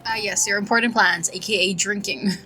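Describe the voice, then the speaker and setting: A woman's voice: medium pitch, fairly even intonation, fairly quick, bright, forceful tone, a little hiss or hum, low-quality recording. A woman giving casual playthrough commentary on a video game, sometimes reading choices aloud. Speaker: gaming content creator.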